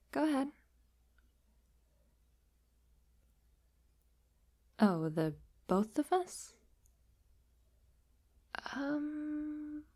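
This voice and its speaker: A shy young woman, played by a voice actress, speaks slowly and gently, with a soft, breathy tone that often slips toward a whisper. The sound is mellow, close and dry, like an intimate audio roleplay. She is affectionate and a little halting, as a best friend turning sweetheart. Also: sits high, no echo, full character voice. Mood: casual, tentative. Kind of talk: intimate roleplay ASMR, soft-spoken acting.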